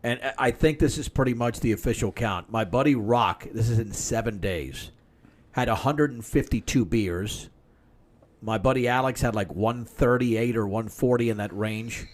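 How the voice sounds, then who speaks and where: Brief: middle-aged man, mid-pitched voice, rambling guest anecdotes. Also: normal speed. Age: 50s. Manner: long-winded anecdotes, talking over others. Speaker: podcast guest. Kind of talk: casual storytelling chat.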